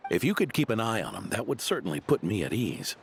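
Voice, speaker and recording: A kindly old knight. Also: male voice, lowish voice, very animated, fast talker, vocal fry, a little hiss or hum. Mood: calm. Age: older. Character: elderly male knight, kindly and steady. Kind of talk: video game voice acting. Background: game music.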